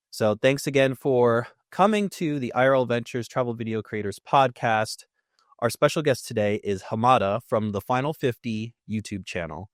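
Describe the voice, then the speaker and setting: A man's voice: on the low side, dry recording, studio quality. A man hosting a podcast in an upbeat, chatty way, often laughing lightly between his words. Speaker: podcast host.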